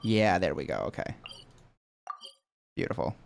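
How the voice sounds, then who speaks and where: A man's voice: low voice, big pitch swings, unhurried, gravelly, heavy background noise. A man giving casual, wry reactions as he streams a game playthrough. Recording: game music behind the voice.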